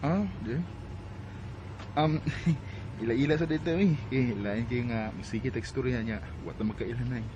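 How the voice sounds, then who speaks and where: A man's voice: medium pitch, unhurried, muffled recording, background noise, close to an everyday voice. An actor playing a shy, friendly young man in a radio drama, with a Filipino accent. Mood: sheepish. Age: young adult. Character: friendly, shy young man courting, telling his life story.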